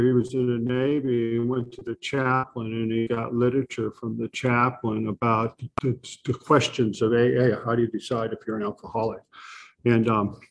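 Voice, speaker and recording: A soft-spoken man sharing his recovery story at a support meeting, halting often with pauses and fillers. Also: medium pitch, a little hiss or hum, rough recording. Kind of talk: personal recovery share.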